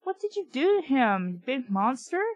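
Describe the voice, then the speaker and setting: A woman's voice: high-pitched, very animated, moderate pace, no echo, highs cut off. A woman voicing an indignant, scolding young girl from a storybook, with a snappish, demanding manner. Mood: shrieking. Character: scolding, indignant young girl, skinny older-child sister type.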